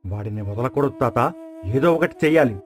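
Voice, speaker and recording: A man's voice: medium pitch, fairly quick, darkish tone, faint music behind, lightly acted. A man voicing a wronged, cunning village farmer who pleads, in an acted moral story. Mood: desperate, pleading.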